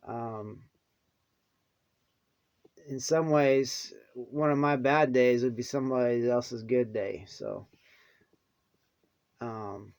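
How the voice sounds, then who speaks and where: A man talks to his viewers in a loose, rambling monologue. He restarts often and trails off into soft mumbles, then brightens again. His voice sits at a middle pitch. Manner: halting, many restarts and fillers, mumbles softly then perks up. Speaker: vlogger.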